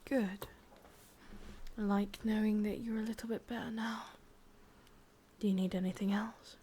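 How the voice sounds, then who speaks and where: A woman with an English accent playing a sadistic, possessive witch in a whispered, breathy ASMR roleplay, commanding yet doting, with deliberate, intimate delivery. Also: medium pitch, level tone, warm tone, slight background noise, natural voice. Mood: coaxing, controlling.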